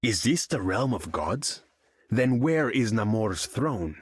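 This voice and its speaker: Husky, haughty undersea king. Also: on the low side, big pitch swings, takes it slowly, no echo, clean studio sound, heavily acted. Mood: imperious. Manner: pompous, formal, grandiose, speaks of himself in third person. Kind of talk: video game character voice lines.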